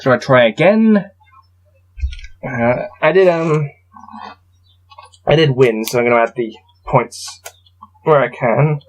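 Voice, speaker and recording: A man's voice: mid-range voice, takes it slowly, long pauses, poor audio. A man hosting a casual gamebook playthrough, reading passages aloud and adding wry, self-deprecating asides. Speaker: host playing through a gamebook.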